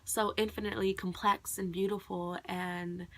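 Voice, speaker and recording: A woman's voice: medium pitch, flat delivery, moderate pace, rough recording. A young woman, a college student whose first language is Khmer, rambling casually and thoughtfully to camera with many "like"s and "um"s. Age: young adult. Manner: rambling, heavy on "like" and "um".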